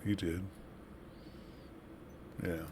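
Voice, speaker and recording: A man's voice: deep voice, level tone, very slow, darkish tone. A man giving casual, offhand commentary as he plays a video game, with game noise behind him. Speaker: gaming video host. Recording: clear background noise from game.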